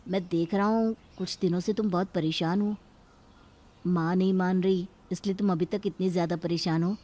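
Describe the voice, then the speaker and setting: A woman voicing a gentle, concerned young husband in a cartoon story, asking questions softly. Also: fairly low pitch, fairly even intonation, normal speed, close to an everyday voice. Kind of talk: Hindi cartoon story acting.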